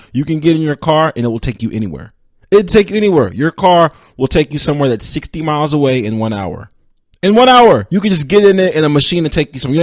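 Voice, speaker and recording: A man's voice: fairly high pitch, dry recording, studio quality. A young man hosting a casual solo sports podcast, rambling and self-deprecating as he talks straight to his listeners. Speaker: podcast host.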